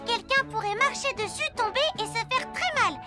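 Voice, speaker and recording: An earnest little cartoon girl cadet, extremely high in pitch. She instructs like a bossy small presenter over loud children's music. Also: normal speed. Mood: bright, instructive. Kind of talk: French children's cartoon dubbing.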